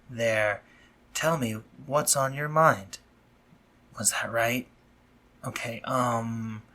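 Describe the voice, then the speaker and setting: A man playing an anxious, awkwardly tender spider-monster medic in a scripted romantic audio roleplay, speaking formally and haltingly with a breathy, slightly husky voice. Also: medium pitch, pushed and bright, exaggerated voice. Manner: formal, stammering, halting when flustered.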